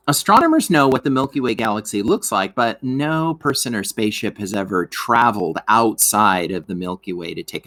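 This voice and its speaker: A man reading a scripted educational lesson aloud for children, as a teacher, in an expressive way that speaks straight to the listeners. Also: lowish voice. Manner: expressive, addresses listeners with questions.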